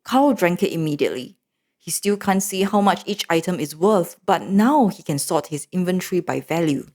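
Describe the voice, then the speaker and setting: Animated woman storyteller. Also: on the low side, moderate pace. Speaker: narrator. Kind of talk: storybook recap narration.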